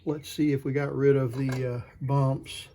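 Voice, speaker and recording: A man hosting a hands-on repair demonstration in his workshop, talking to himself in an unhurried way as he works. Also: mid-range voice, little pitch movement, heavy background noise. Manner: unhurried, talks to himself while working. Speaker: host. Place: workshop with a lathe.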